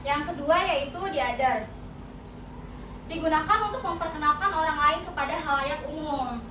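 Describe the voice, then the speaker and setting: Young woman teacher, chatty classroom delivery, high voice, distant mic. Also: fairly quick, bright, forceful tone. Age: young adult. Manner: chatty, mixes in English example phrases. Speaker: English teacher. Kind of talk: classroom explanation. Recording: echoey room, distant mic, noisy.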